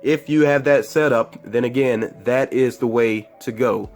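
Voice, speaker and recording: A man's voice: medium pitch, a little slow, a little mellow, faint music behind. A man with a cold and a congested voice gives a casual, rambling movie review, full of fillers and self-corrections.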